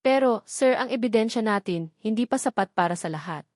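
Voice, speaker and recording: A woman's voice: on the high side, animated, a little fast, no room sound, clean studio sound, natural voice. A woman with a Filipino accent voicing a polite, worried lawyer answering his boss in a story. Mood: startled, worried. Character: deferential, startled male lawyer on the phone.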